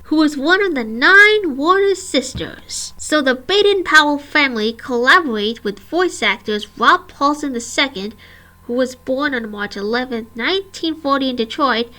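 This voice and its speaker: A woman's voice: high-pitched, pushed and bright, poor audio. A woman in her twenties, a vlogger reading a scripted history and reciting long lists of names and dates. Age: 20s.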